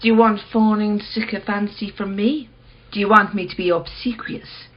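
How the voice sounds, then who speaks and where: An actress playing a cool, probing woman who will not budge, measured and blunt, in a radio play. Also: mid-range voice, steady tone, dull audio, lightly acted. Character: cool, probing, unyielding female owner of rare mirror.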